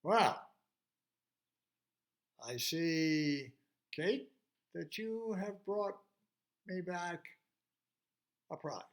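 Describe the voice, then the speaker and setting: A man voicing a proud, composed royal father who speaks in deliberate declarations. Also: a little high.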